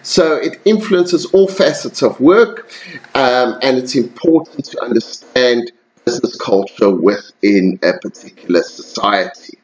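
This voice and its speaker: Male lecturer, mid-pitched voice, casual voice-over for slides, calls students "guys". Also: a little slow, low-quality recording. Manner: relaxed, addresses students as guys, many ums and self-corrections.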